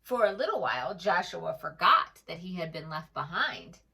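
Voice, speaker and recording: A woman storyteller reading aloud to preschoolers in an expressive way, pausing to address the young listener directly. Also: on the low side, vocal fry, recorded from a distance. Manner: slow, animated, addresses the young listener with questions. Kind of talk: expressive children's read-aloud.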